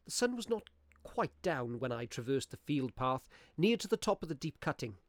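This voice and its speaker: An actor playing a practical-minded Victorian gentleman narrating a ghost story in a measured, literary way for a radio play. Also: medium pitch, sing-song, no room sound, lightly acted. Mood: grave storytelling.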